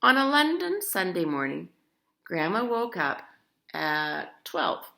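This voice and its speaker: A woman reads a picture book aloud for children. Her voice is bright and very animated, and she is theatrical and emphatic, dropping in chatty asides. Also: fairly low pitch, slow, gravelly. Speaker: storybook reader.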